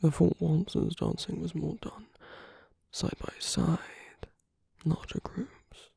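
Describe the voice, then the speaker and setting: A woman performing an ASMR roleplay as a suave, teasing, flirtatious villain, in a breathy, husky half-whisper with slow, coaxing murmurs. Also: mid-range voice, mellow, no echo, heavily acted. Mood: patient, guiding. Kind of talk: ASMR roleplay, intimate scripted monologue.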